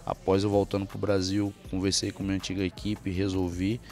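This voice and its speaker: A man's voice: deep voice, monotone, fairly quick, a little mellow, faint music behind. A young man from Bahia, Brazil, a fighter talking in a casual interview in front of a press backdrop on a handheld mic. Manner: confident, hypes himself up. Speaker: MMA fighter. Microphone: handheld mic held by interviewer.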